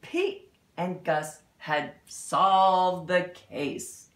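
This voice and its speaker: A woman in her fifties reading a picture book aloud to young children, expressive and exclamatory, with dramatic pauses and asides. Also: fairly low pitch. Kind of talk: animated read-aloud storytelling for young children. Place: small indoor room at home. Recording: roomy home recording, slightly distant mic.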